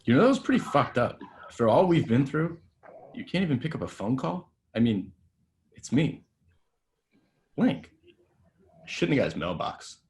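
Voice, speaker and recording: A man's voice: medium pitch, sing-song, normal speed, long pauses, a little mellow, lightly acted. A man reading the part of a drunk, reckless young actor who leaves a rambling, self-pitying voicemail at a table read.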